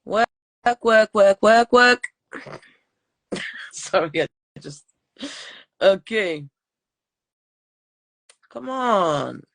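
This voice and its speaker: A woman hosts a live interview in an unhurried way, taking her time between short phrases. Her pitch is middling for a woman. She chats informally and often repeats a word or phrase. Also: lively intonation, gravelly, a bit bright. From Portugal and Switzerland. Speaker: podcast host. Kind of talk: casual live interview chat.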